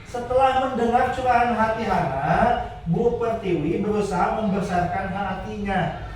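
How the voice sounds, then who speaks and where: A man's voice: high voice, normal speed, darkish tone, a little hiss or hum. A male teacher reading a children's story aloud to his class and stopping to quiz them. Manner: checks the class with questions between story parts. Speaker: primary school teacher. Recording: echoey classroom, far from the mic.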